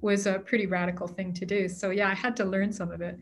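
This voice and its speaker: A woman's voice: mid-range voice, little pitch movement, fast. A woman telling a travel story in casual conversation, rambling, with many fillers and restarts. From Canada.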